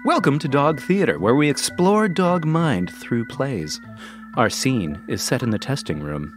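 Man as mock-grand, slow theatrical narrator. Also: mid-range voice, sing-song, music in the background. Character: theatrical narrator of a play for dogs. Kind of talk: scripted kids' play narration.

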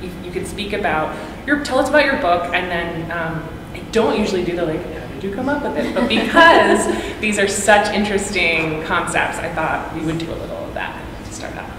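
Low-voiced woman moderating a panel. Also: lively intonation, brisk, hardly any pauses. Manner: chatty, lots of "like" and "um", acts out quoted exchanges. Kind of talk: casual panel talk. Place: large hall, seated at a panel table. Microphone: table microphone. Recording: echoey hall with background noise.

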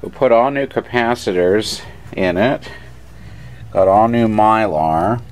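A man giving casual, matter-of-fact hands-on commentary at a workbench, a little halting as he explains, picked up by a handheld camera microphone. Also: lowish voice. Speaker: radio repair hobbyist and narrator. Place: indoor electronics workbench. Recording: noisy room with radio hiss, handheld camera. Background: test radio noise and hum.

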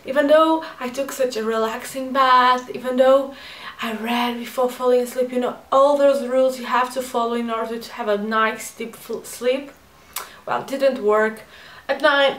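A woman's voice: a little high, echoey room, distant mic. A woman in her twenties with a Portuguese accent, chatting in a rambling, self-mocking way while doing her makeup in her bedroom. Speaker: beauty vlogger. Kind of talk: chatty get-ready-with-me vlog. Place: bedroom at home.

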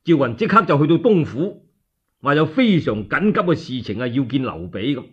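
A man's voice: on the high side, very animated, moderate pace, husky, lo-fi sound. A man with a Cantonese accent narrating a story dramatically as a solo storyteller.

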